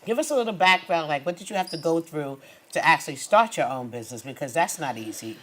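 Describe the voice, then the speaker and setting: A woman's voice: deep voice, lively intonation, fast talker, a bit bright. A woman hosting a talk show in a conversational interview style, chatty and encouraging with her guest. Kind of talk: conversational small business interview. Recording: small studio audience present.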